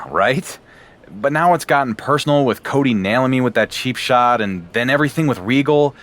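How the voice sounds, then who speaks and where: An actor playing a young champion wrestler who talks in a dry, sarcastic way. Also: male voice, mid-range voice, lively intonation, moderate pace, fairly bright tone, lightly acted.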